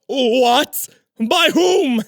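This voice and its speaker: A man's voice: very high voice, animated, drawn-out words, fairly bright tone, dry recording, studio quality, exaggerated voice. A man playing a pompous, cruel nobleman sorcerer in a fantasy audio drama, gloating and menacing with theatrical flair. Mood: alarmed anger.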